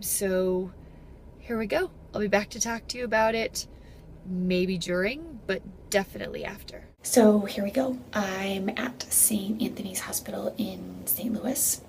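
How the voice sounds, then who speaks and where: Earnest woman in her forties, faint hiss. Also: mid-range voice. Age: 40s. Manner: earnest, measured, with pauses. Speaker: vlogger. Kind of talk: personal vlog talking to camera.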